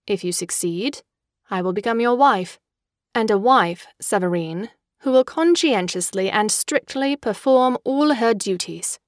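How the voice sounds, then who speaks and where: A woman's voice: mid-range voice, measured pace, no room sound, studio quality, lightly acted. An actress playing a fickle, imperious young woman in an audio drama, poised and articulate, with a mocking manner. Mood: commanding.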